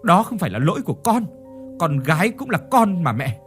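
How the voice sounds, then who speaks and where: A man's voice: medium pitch, very animated, a little fast, a little mellow, lightly acted. A man voicing a wronged young wife, anguished and defiant, with a tearful, choked delivery in a dramatic audio story. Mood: choked, pleading. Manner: tearful, choked, repeating phrases in outrage. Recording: music behind the voice.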